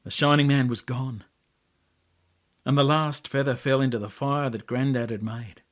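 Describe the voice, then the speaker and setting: An Australian man reading a story as its author, with expressive, dramatic delivery and deliberate pauses. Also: mid-range voice. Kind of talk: dramatic storytelling, scripted narration.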